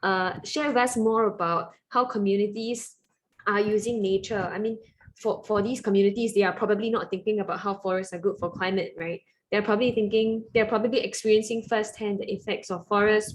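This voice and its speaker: Singaporean lady hosting an online panel, chatty interview style, medium pitch, bit of noise. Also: steady tone, fairly quick. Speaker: panel moderator. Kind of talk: conversational interviewing. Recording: faint noise on webinar audio.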